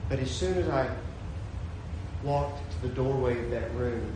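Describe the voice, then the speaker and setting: A man preaching a sermon, his voice swinging from shouted peaks to a hushed storytelling tone. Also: mid-range voice, measured pace, reverberant, background noise. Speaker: pastor.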